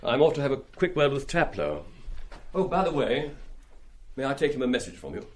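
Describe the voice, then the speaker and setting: An actor in a radio play as a kindly, earnest science master, politely and gently persistent. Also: male voice, mid-range voice, very animated, natural voice. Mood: brisk, friendly. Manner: warmly insistent, brisk and polite. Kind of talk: radio drama acting.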